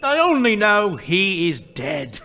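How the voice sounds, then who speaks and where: Man as mocking gossip merchant, sharp bright voice, lively pitch. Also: takes it slowly, gravelly, faint music behind, heavily acted. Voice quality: chuckling, mocking. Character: gossiping, laughing businessman. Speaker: radio play actor. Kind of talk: live radio play acting.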